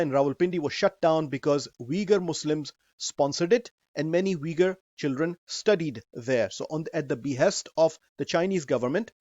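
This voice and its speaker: A man in his forties with a Pakistani accent, presenting a scripted talk in an earnest, measured way. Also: on the high side, a little mellow, no room sound. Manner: earnest, measured, with Arabic phrases. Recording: dry, slightly dull recording.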